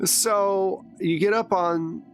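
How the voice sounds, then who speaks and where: A man's voice: high voice, fairly even intonation, slow, soft background music, low-quality recording. A man running a tabletop roleplaying game, narrating in a conversational, improvised way. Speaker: game master.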